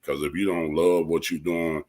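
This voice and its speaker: A man's voice: rumbling low, flat delivery, moderate pace, darkish tone. A man talking casually as a panel guest, with a colloquial, encouraging manner. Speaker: cast member, panel guest. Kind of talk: casual chat.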